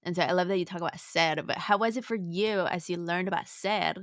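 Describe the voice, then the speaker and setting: A woman's voice: lowish voice, fairly quick, no echo, clean studio sound. A woman hosting a podcast interview, casual and reflective, circling a little as she forms her questions.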